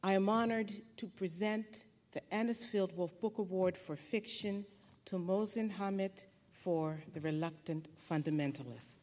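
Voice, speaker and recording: A woman presenting a book award, reading a formal prepared speech in a measured, literary manner. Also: mid-range voice, darkish tone. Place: large hall at an award ceremony. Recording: echoey hall reverb.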